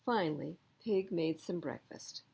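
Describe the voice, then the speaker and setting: A woman reading a picture book aloud to children as an expressive storyteller. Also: fairly low pitch, unhurried, gravelly, breathy edge.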